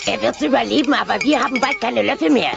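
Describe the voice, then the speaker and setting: An actress voicing a blunt, toothless old dinosaur grandmother with a gravelly, sardonic delivery in a German cartoon audio play. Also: a little high, fast. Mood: dry teasing. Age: older. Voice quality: gravelly, creaky. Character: crusty, sharp-tongued old dinosaur grandmother, toothless, dry-witted. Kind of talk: German children's audio drama, cartoon adaptation. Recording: dull cassette audio drama with loud music.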